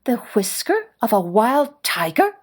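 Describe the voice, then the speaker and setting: A woman's voice: fairly high pitch, sing-song, measured pace, music in the background. A woman storyteller voicing an earnest, desperate stepmother in a folk tale, with a pleading manner. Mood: pleading, anxious. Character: earnest, desperate young stepmother seeking help.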